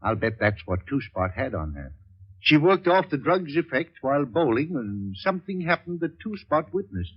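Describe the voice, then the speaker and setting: An actor playing a boozy, sarcastic doctor sidekick in a 1940s radio crime drama, theatrical and rambling. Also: male voice, mid-range voice, sing-song, normal speed, slightly rough. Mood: wry, speculative. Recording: dull lo-fi 1940s radio recording.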